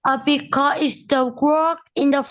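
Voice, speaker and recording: A woman learning English in an online lesson, answering hesitantly with halting phrasing and pauses as she searches for words. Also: sits high, steady tone, fairly bright tone. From Vietnam. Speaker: English student. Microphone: video call. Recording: dull lo-fi video call audio.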